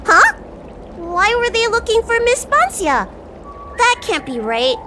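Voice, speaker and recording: A woman's voice: very high-pitched, wildly swooping pitch, takes it slowly, very noisy, full character voice. A woman voicing an earnest, trusting young girl healer in a video game, with a childlike, exclamatory way of talking. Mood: puzzled, earnest.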